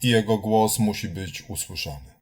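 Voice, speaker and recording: A man's voice: lowish voice, moderate pace. A man giving a dramatic reading of a political essay in a solemn, accusatory, declamatory manner. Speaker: reader of political writings.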